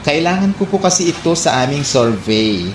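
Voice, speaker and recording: A man playing a polite, formal, smooth-talking survey taker with an ingratiating manner in a comedy radio drama. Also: a little high, big pitch swings, moderate pace. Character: smooth-talking young male survey taker, scheming stranger. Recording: echoey room, faint background noise.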